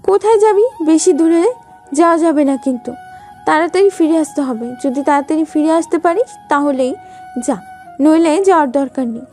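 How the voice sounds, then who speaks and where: Woman acting a strict sister-in-law, high mellow voice. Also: normal speed, faint music behind, natural voice. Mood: stern, warning. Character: strict, watchful elder sister-in-law laying down rules. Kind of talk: Bengali audio love-story acting.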